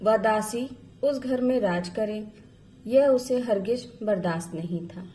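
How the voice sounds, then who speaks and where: A woman's voice: mid-range voice, takes it slowly, dark tone. A woman storyteller reading a literary story as scripted narration.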